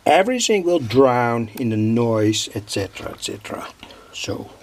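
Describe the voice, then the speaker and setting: A man from the Netherlands gives an informal, hands-on technical explanation as a hobbyist, in a husky, gravelly voice with halting, piecemeal phrasing. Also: mid-range voice, big pitch swings, darkish tone, a little hiss or hum. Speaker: hobby electronics video maker.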